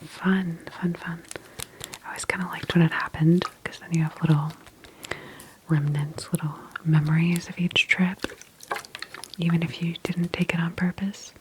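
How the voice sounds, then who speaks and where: A woman whispering softly and breathily in an ASMR roleplay, playing a friendly, reassuring medical examiner with a gentle, unhurried manner. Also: on the low side, flat delivery, noisy background. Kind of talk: ASMR personal-attention roleplay.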